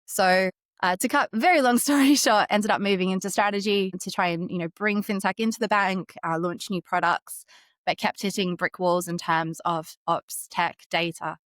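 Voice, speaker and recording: A woman's voice: fairly low pitch, a bit bright, dry recording, studio quality. A woman with an Australian accent, answering interview questions about her career in an articulate, upbeat way. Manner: articulate, upbeat, laughs lightly while telling stories. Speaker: fintech executive guest.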